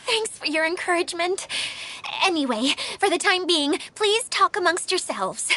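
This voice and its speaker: A woman's voice: extremely high pitch, sing-song, normal speed, bright, forceful tone, full character voice. A woman voicing an anxious, self-deprecating little female desert spirit in a video game, flustered and dramatic. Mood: dejected. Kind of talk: video game character acting.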